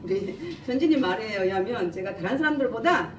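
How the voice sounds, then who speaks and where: A woman delivers a sermon in a fairly high, lively voice with a regional Korean dialect. Her articulation is a little slurred and lispy as she walks through scripture and poses questions. She sounds some distance from the microphone in an echoey room. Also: a little fast. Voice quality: slightly imprecise, lisping articulation. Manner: asks listeners rhetorical questions and answers them. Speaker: preacher giving a Bible message. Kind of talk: sermon, explaining scripture verse by verse. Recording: echoey room, distant mic, faint noise.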